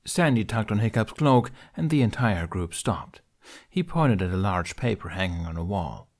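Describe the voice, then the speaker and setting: A man's voice: lowish voice, animated, normal speed, a little mellow. A man reading scripted fiction aloud as an amateur audiobook narrator.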